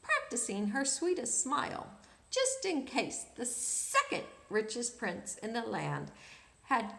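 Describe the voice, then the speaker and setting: Female storybook reader for kids: high, bright voice, unhurried and expressive, echoey room. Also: vocal fry. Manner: expressive, pauses to ask listeners questions. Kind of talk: animated children's read-aloud.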